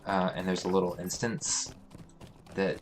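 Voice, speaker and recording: A man hosting a let's play, talking casually through a game with a hoarse, congested voice and many fillers. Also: sits low, low-quality recording. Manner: relaxed, halting, thinking aloud with many fillers. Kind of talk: casual gameplay commentary.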